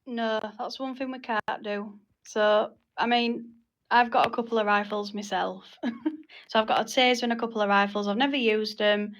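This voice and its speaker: A woman's voice: on the high side, steady tone, moderate pace, a bit bright, dull audio. A woman leading an informal group meeting, explaining things in a hesitant, repetitive way. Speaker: meeting leader.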